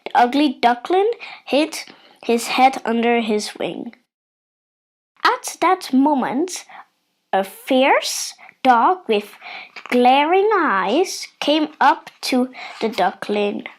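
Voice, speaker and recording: A woman reading a children's bedtime story in a deliberate, expressive narration. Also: sits high.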